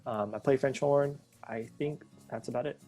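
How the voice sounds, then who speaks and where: A young man in his late teens, a college freshman and drum major, speaking in a thoughtful, halting way over a video call. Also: medium pitch, steady tone, dark tone, faint music behind.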